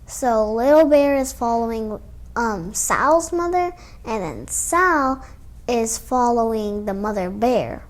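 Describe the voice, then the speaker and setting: A woman's voice: fairly high pitch, expressive, slow. A young girl hosting a children's storytime, reading a picture book aloud. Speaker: storytime host.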